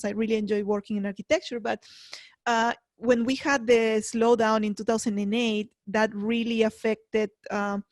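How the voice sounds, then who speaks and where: Peru-raised woman with gravelly voice. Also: on the high side, dry recording, clean studio sound. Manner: relaxed, many fillers. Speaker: guest, real estate investor. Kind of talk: conversational interview.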